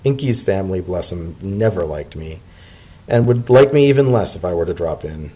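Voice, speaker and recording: A man voicing a fussy, wry male pony civil servant in a story reading, with a dry, brisk and protective manner. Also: low-pitched, lively intonation, warm tone, husky, poor audio. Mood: weary, wry. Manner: dry, brisk, fussily caring.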